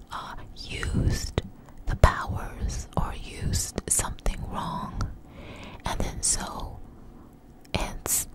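A woman telling a story in a breathy, close-up ASMR whisper, halting and unhurried, with frequent pauses. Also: poor audio. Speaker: ASMR video creator. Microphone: close microphone.

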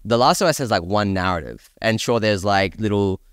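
A man with a deep, resonant voice speaks as a panelist in a casual discussion. He explains things in a measured way, recorded dry and close. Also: animated, clear, resonant tone, no room sound. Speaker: panelist on a video game talk show.

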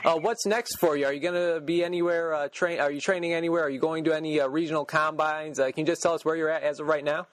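A man's voice: on the high side, steady tone, fast talker, hardly any pauses, no echo. A man hosting a podcast interview, casual, upbeat and friendly. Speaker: podcast host.

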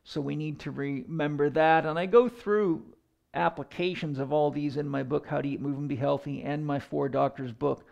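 Higher-voiced middle-aged man lecturing, slightly gravelly. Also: fairly even intonation, moderate pace, darkish tone, highs cut off. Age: 50s. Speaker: fitness coach and educator giving a lecture. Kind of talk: explanatory lecture to camera. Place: indoor room in front of a chalkboard.

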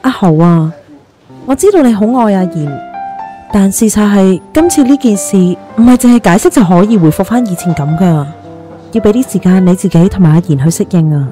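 An actress with a Hong Kong Cantonese accent playing a gentle, caring young best friend in a radio drama. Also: female voice, medium pitch, lively intonation, a little fast, faint music behind, natural voice. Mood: concerned, earnest.

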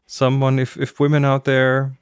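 A man's voice: mid-range voice, monotone, moderate pace, clean tone, no echo. A middle-aged man from Germany, a native German speaker, co-hosting a casual podcast discussion on a close microphone. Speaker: podcast co-host. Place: small room at home, indoors, video call. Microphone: close podcast microphone with headset.

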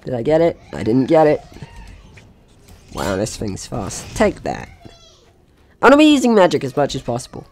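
A man's voice: mid-range voice, wildly swooping pitch, moderate pace, gravelly, pauses often, a little mellow, slight background noise. A man giving casual gameplay commentary, chatting through his own actions in short remarks. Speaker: gaming video host.

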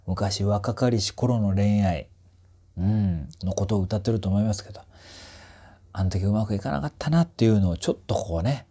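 A man's voice: fairly low pitch, warm tone, slightly rough. A man in his forties speaks as a voice coach giving a casual review. His delivery is conversational and musing, full of small asides. He is recorded indoors on a small clip-on mic. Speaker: voice trainer reviewing music. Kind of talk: casual reaction commentary. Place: small indoor space with curtain backdrop.

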